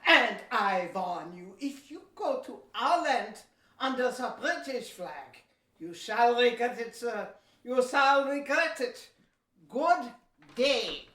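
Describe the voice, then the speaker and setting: A woman's voice: fairly high pitch, expressive, slow, fairly bright tone, recorded from a distance, slight background noise. A woman playing a pushy, indignant Dutch merchant man in an amateur historical audio drama. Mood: angry, threatening. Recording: echoey room.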